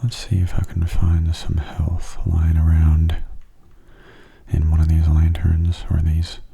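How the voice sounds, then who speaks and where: Guy doing asmr gaming commentary, hushed murmur close to mic, gravelly low end, barely moves in pitch, calm. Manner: calm, unhurried, musing aloud. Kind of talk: soft-spoken ASMR game commentary. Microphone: close microphone.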